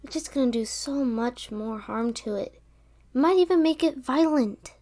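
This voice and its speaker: A woman playing a stressed, protective female scientist in an audio roleplay drama, speaking deliberately with sighs. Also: high-pitched, warm tone. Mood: worried.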